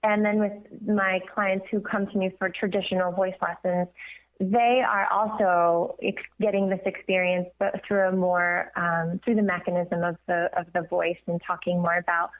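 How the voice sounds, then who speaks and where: A woman's voice: on the low side, level tone, normal speed. A woman speaking earnestly as an interview guest, explaining her work as a voice coach over a phone. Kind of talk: conversational radio interview. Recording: tinny, narrow phone line.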